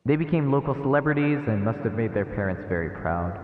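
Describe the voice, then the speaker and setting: A man's voice: medium pitch, animated, fast talker. A man streaming a visual novel, reading the narration aloud and adding casual asides. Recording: echoey, phone-like narrow sound, long reverb. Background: game music.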